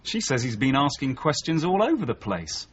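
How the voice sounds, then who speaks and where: An actor playing an earnest, understated British detective sergeant in a 1980s radio drama, speaking in a measured, dutiful way. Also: male voice, medium pitch, big pitch swings, natural voice. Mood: businesslike, reporting. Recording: dull, noisy radio broadcast.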